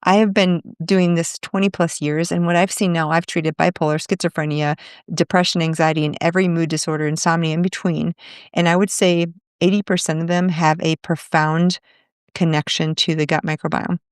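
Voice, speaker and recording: A woman physician explaining her work in an interview, steady and explanatory, listing examples as she goes. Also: lowish voice, moderate pace, clear, resonant tone, no room sound, clean studio sound. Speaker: functional medicine physician.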